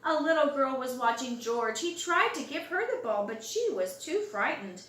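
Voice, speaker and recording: High, animated woman reading a storybook. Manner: expressive, drawn-out words and loud acted exclamations for young listeners. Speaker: storybook reader. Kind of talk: animated children's storybook read-aloud. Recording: roomy home recording, distant mic.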